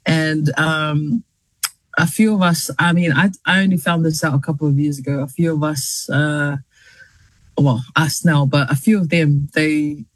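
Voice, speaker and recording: A woman's voice: sits low, steady tone, measured pace, dry recording. Chatty New Zealand woman with a dry sound. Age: 30s. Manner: chatty, laughs often, many fillers and restarts. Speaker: journalist and interview guest. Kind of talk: casual interview chat.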